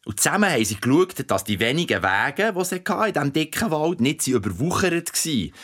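Lively Swiss German storytelling father. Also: on the high side. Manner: earthy, dramatic, drawn-out emphasis. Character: earthy father telling a spooky bedtime story. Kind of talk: dramatic storytelling in a radio play.

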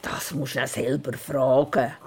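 An older woman with a Swiss German accent playing a gruff, teasing village grandmother in a radio play, curt and matter-of-fact. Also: very deep voice, fairly even intonation, a little slow, darkish tone, a little hiss or hum. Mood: impatient.

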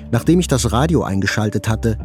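Low-voiced, sober male narrator played by an actor. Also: animated, brisk, a little mellow, close to an everyday voice. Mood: sober, dry. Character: male journalist soberly recalling his life before an attack. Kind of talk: literary first-person narration in audio drama. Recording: faint music behind the voice.

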